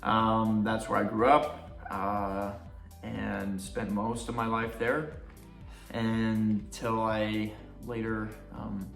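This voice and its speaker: An easygoing man from Utah, chatting in a family Q&A with many ums and pauses. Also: on the low side, monotone, vocal fry, fairly bright tone, reverberant, soft background music. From Utah, United States. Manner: easygoing and halting, with many fillers. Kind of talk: casual family Q&A chat.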